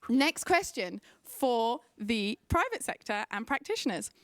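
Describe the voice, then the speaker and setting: A woman's voice: on the high side, very animated, a little slow, no room sound. A woman hosting a live game show at a conference in a playful, teasing way.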